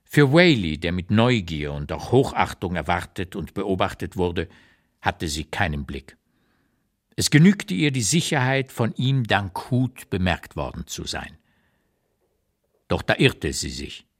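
Low male voice, very lively intonation, literary memoir narration, articulate, expressive. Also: unhurried. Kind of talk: literary reading, first-person memoir narration for radio.

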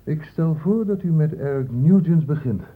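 An actor playing a thoughtful, quietly insistent investigator in a Dutch radio play, deliberate and questioning. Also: male voice, on the high side, lively intonation. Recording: muffled, hissy old radio recording.

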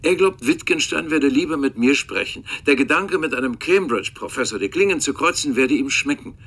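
Bright-voiced haughty professor. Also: male voice, fairly high pitch, lively intonation, brisk, husky, exaggerated voice. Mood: testy, defensive. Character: defensive, haughty male Cambridge philosophy professor. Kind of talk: radio drama dialogue.

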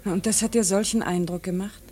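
A woman's voice: mid-range voice, fairly even intonation, fairly quick, mellow, close to an everyday voice. An actress in a German radio play voicing a level-headed woman who questions an old friend in a matter-of-fact way. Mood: concerned, probing.

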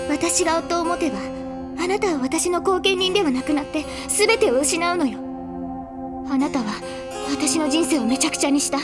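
A fragile teenage girl bent on revenge, in a high voice. She is bitter and emotionally raw, in audio drama style over clear background music. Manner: intense, venomous, emotionally raw. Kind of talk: Japanese audio drama acting.

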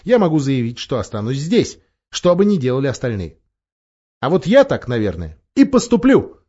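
A man's voice: medium pitch, wildly swooping pitch, normal speed, warm tone, husky, muffled recording. Man reading as a curt, bossy older sister. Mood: stubborn, cross. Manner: curt, dismissive. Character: bossy, sensible, dismissive older sister, a girl. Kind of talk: audiobook dialogue.

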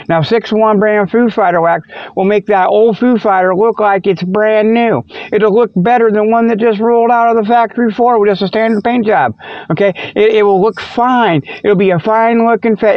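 Man doing a high-pitched goofy cat radio host. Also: dry recording, highs cut off, lightly acted. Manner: rambling, folksy, stumbling, chuckles at own jokes. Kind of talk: comedic radio monologue with spoof ads.

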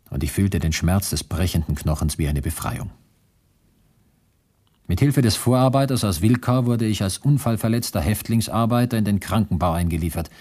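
A man's voice: sits low, a little mellow, slightly rough. An actor playing a male camp survivor who recounts his ordeal soberly and precisely in a radio drama monologue. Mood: grave, intense.

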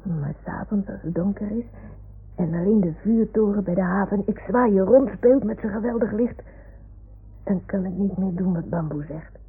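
A woman's voice: mid-range voice, normal speed, husky. A woman playing an imaginative little boy in a radio play, speaking with childlike earnestness. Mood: hushed wonder. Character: imaginative little boy talking with his toy animals. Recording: muffled phone-like old radio recording.

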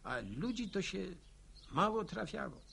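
An actor playing an earnest villager in a radio drama, recounting army days in a reflective, plain-spoken way. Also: male voice, high voice, big pitch swings, measured pace, creaky, bright, forceful tone, a little hiss or hum, exaggerated voice. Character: earnest Polish villager, war veteran recalling his army days.

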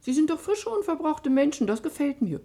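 A woman playing a gentle, wistful old wife in a German radio play, mildly plaintive and soft-hearted. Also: high voice, sing-song, brisk. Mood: wistful reproach. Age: older.

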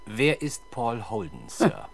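Dogged police inspector, animated delivery. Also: male voice, mid-range voice, slow, no room sound, full character voice. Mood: probing. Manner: probing, politely persistent. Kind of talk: 1970s German radio crime drama acting. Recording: faint background noise, vintage radio production.

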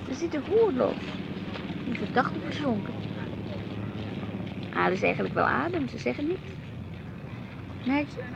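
High, expressive discontented housewife. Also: female voice, creaky, heavy background noise, full character voice. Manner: expressive, brooding, plaintive. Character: discontented married housewife, wistful about a humdrum life. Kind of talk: radio play acting.

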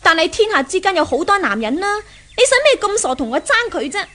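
A woman's voice: extremely high pitch, very animated, fairly quick, pushed and bright, heavily acted. An actress playing an arrogant, domineering young rich woman in a 1970s radio drama, with a Hong Kong Cantonese accent and a sneering, imperious manner. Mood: scornful, impatient.

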